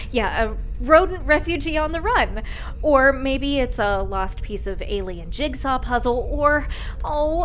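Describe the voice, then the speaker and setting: A woman playing an excitable, whimsical space navigator in a sci-fi comedy audio drama, bubbly and eager. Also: high-pitched, slight background noise. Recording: loud music behind the voice.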